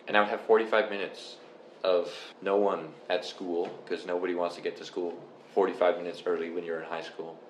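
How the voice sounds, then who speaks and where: A young man from Canada chatting casually and explaining things step by step in a relaxed, rambling way. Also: low-pitched. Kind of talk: casual chat. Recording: echoey room with faint noise.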